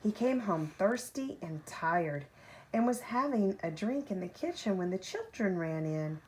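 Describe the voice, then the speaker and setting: Woman reading a bedtime story, slow and animated. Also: medium pitch, poor audio. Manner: deliberate, dramatic phrasing with drawn-out key words. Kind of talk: expressive children's picture-book read-aloud. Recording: home recording with faint background noise.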